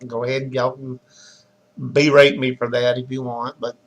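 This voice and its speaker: A hoarse, raspy man with a Southern US accent, hosting a live talk show in a loose, chatty way and greeting viewers by name. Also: mid-range voice, flat delivery, moderate pace, low-quality recording. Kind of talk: casual rambling live-stream chat.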